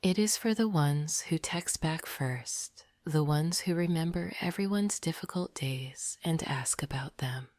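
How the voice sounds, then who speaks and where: A woman narrating a scripted sleep meditation in a soft, breathy near-whisper, gentle and deliberate. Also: low-pitched, no room sound, clean studio sound.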